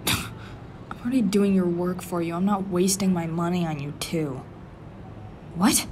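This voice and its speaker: A man playing a prickly, flustered office worker who hides a crush, stammering and grumbling defensively in a tsundere roleplay addressed to the listener. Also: unhurried. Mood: irritated, impatient. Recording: faint rumbling office and city background noise.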